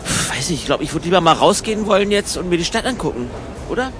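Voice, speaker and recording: A man's voice: sits high, expressive, quick pace, fairly bright tone, slight background noise. A man playing an eager, practical young German emigrant in a radio play, chatty and mildly exasperated as he talks and narrates. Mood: urging, exasperated.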